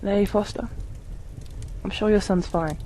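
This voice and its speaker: A woman playing a timid, earnest teenage boy in an amateur radio play, speaking politely and haltingly. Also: mid-range voice, fairly even intonation, mellow, a little hiss or hum, lightly acted, low-quality recording. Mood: earnest, hesitant.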